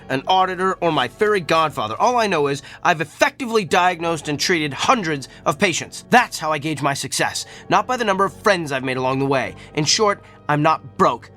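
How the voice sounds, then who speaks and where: A brusque, arrogant doctor in a radio drama, who talks with a bright, forceful edge. His pitch sits a little high and swings widely. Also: expressive, a little fast, few pauses, soft background music. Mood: defensive. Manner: curt and defensive. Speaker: physician.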